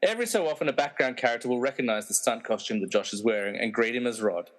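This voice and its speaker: A man's voice: medium pitch, fast. A man narrating a radio play, reading out scripted scene directions.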